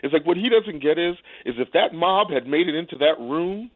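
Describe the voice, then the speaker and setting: A man's voice: on the high side, lively intonation, fairly quick, dry recording. A man giving casual political commentary as a radio guest, talking in a wry, colloquial way. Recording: dry, phone-like narrow sound.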